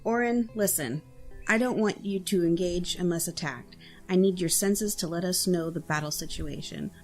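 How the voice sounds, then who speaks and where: A woman's voice: lowish voice, normal speed, soft background music, natural voice. A woman playing an earnest, anxious young fighter in an audio drama, informal and questioning. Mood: exasperated, urgent.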